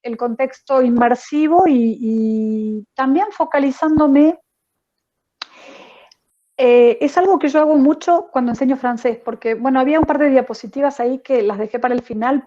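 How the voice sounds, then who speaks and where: A woman teacher answering a question on an online panel, thoughtful and pausing often with fillers. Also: fairly high pitch, dark tone, highs cut off. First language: not French. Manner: thoughtful, many hesitations and fillers.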